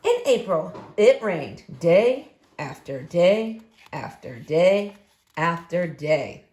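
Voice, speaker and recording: A woman's voice: fairly low pitch, sing-song, slow, darkish tone. A woman reading a picture book aloud expressively to young listeners. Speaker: story reader for children. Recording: echoey room.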